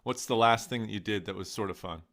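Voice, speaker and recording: A man's voice: lowish voice, fast talker, a bit bright. A man co-hosting a tech podcast, upbeat and friendly as he introduces and questions a guest. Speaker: podcast co-host.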